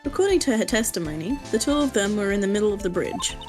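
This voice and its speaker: A woman's voice: mid-range voice, expressive, fast, music in the background, lightly acted. A woman playing a confident young female defense lawyer in a fan game dub, arguing in a pointed, emphatic way.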